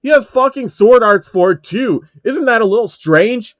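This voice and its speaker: A man voicing a loud, hot-tempered male gamer villain who rants, whines and swears, in a fan fiction story. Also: very high-pitched, animated, normal speed, no echo, lo-fi sound, voice pushed up. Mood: indignant arguing. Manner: whiny, ranting, sweary.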